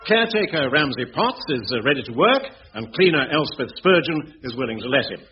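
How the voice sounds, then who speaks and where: A man announcing a radio sitcom with a scripted, wry introduction, pausing for effect. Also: fairly high pitch, animated, recorded from a distance. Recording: dull old radio recording, music behind.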